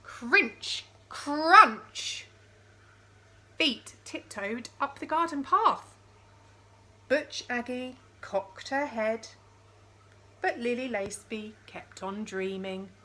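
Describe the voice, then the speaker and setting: A woman reading a picture book aloud to young children in an expressive storytelling style, with chatty questions to the listeners. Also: fairly high pitch, extremely slow, pauses often. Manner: addresses young listeners with questions and dramatised sound words.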